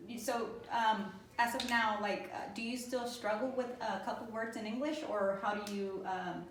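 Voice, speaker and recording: A woman interviewer asks questions with halting phrasing and frequent fillers. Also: a little high, steady tone. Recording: echoey room, far from the mic, faint noise.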